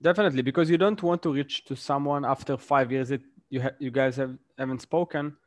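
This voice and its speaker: A man's voice: medium pitch, fairly even intonation, a little fast, dry recording. Man giving career advice in dry close sound. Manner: thoughtful, explains with examples and frequent "right?". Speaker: interview guest. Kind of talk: casual interview advice.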